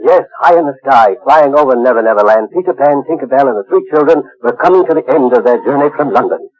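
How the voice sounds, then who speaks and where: A man's voice: mid-range voice, expressive, quick pace. A man narrating a radio drama in a dramatic, scripted storytelling style. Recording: dull old radio recording. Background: prominent music.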